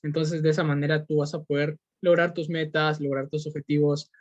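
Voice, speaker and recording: A man's voice: a little high, little pitch movement, fairly quick, dry recording. A young man from Peru with a Peruvian Spanish accent, giving an earnest motivational podcast monologue full of fillers and restarts. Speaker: podcast host. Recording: dull lo-fi recording.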